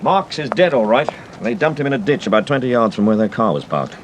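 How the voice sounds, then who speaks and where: A brisk, courteous English gentleman detective in a radio play, acted by a man. His mid-pitched voice moves in animated swings, clipped and decisive, with slight noise behind it. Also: close to an everyday voice. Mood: brisk, matter-of-fact. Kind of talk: British radio drama acting.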